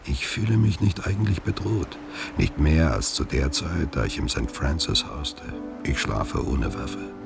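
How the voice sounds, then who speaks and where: Radio play lead: wary, weary older man, rock-bottom gravel voice, reflective and measured, music underneath. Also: very deep voice, animated, exaggerated voice. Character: weary, wary older actor in hiding, Tom. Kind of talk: radio play narration, letter read as monologue.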